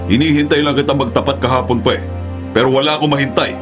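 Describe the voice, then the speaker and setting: An actor playing a suspicious, brooding man in a Filipino radio drama, with a measured, accusing delivery. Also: mid-range voice, steady tone, over music, lightly acted. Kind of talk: Tagalog radio drama acting.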